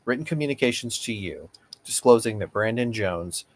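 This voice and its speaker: Guy reading as a lawyer grilling a witness, lowish voice, formal and pushy, deposition transcript read. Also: expressive, normal speed. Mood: pressing. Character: persistent male lawyer grilling an evasive witness. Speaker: attorney questioning a witness.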